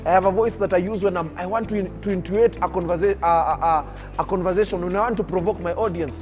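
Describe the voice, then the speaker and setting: Young man, from Kenya, high-pitched, emphatic speaker, muffled audio, soft music underneath. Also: quick pace. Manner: oratorical, emphatic, preacher-like cadence. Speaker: young public speaker interviewed on TV. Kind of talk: earnest personal storytelling.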